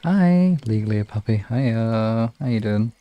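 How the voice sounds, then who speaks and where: A man chatting casually to live viewers as a streamer, in a halting, rambling, informal way. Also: on the low side, animated, no room sound, muffled recording. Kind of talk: casual livestream chat. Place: room in an apartment. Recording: clearly noisy home room recording.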